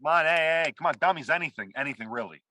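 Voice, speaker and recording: A man's voice: fairly high pitch, animated, very fast, bright, forceful tone. A man playing a washed-up, blustering, desperate movie director in a table read, profane, theatrical and needy. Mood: pleading, impatient. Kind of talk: acted screenplay table read.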